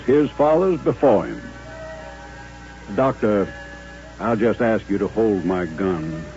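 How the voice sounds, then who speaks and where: An actor playing a composed, courtly Southern gentleman and former colonel, speaking formally and politely in an old-time radio western. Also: medium pitch, natural voice. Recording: dull old radio recording.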